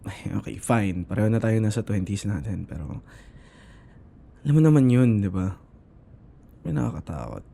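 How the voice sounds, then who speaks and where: Man acting as shy young Filipino boyfriend, intimate ASMR roleplay, creaky low voice, soft and halting, affectionate. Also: very animated, heavily acted. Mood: hesitant, embarrassed. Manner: soft, hesitant, halting, slipping between Tagalog and English.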